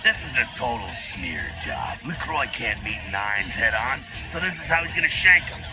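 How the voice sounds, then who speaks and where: An actor playing a blunt, cynical, streetwise vampire rebel in a video game, slangy and sardonic. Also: male voice, medium pitch, big pitch swings, moderate pace, loud music behind the voice. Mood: bitter, sardonic.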